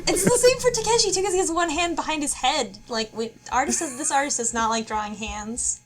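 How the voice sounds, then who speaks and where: Slightly high-voiced woman chatting casually. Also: a little fast. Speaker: book club podcast panelist. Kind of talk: casual chat.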